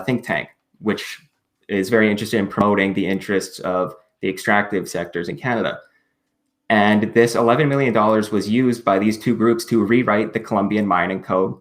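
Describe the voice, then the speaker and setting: A man with a lowish voice talks through political history as a podcast guest. He is measured and careful, hedging his claims and pausing on fillers as he restarts sentences. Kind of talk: explaining politics in a podcast conversation.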